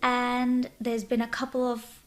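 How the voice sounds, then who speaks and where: A woman's voice: on the high side, completely flat delivery, unhurried. Bubbly Bangladesh-born woman guest. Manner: open, bubbly storytelling with laughs. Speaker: guest, social media influencer. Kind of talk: casual interview chat.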